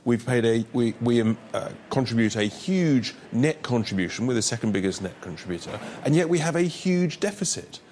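A man's voice: mid-range voice, lively intonation, normal speed, few pauses, darkish tone, slight background noise. A man speaking as a political guest in an interview clip, insistent and emphatic.

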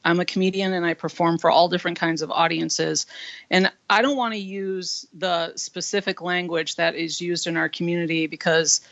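Guest comedian, man, husky voice, frank and earnest; flat delivery, lo-fi dry sound. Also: fairly high pitch, moderate pace, dry recording. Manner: earnest, frank, with frequent fillers. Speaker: interview guest. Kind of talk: candid interview talk. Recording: dull lo-fi dry line.

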